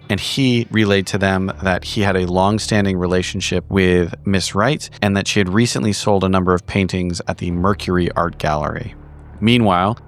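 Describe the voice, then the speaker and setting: A man reading a scripted horror game recap in a formal, measured storytelling manner. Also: lowish voice, a little hiss or hum. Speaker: player giving the story recap.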